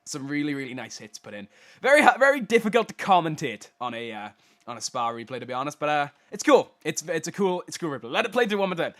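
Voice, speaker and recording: A young man with a cold talks over game replays with a blocked, stuffy nose. His voice sits a little high and bounces around in pitch. He rambles and laughs at himself when he trips over names. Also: very animated, quick pace. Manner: rambling, self-deprecating, stumbles over names. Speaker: host commentating game replays. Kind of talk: casual replay commentary.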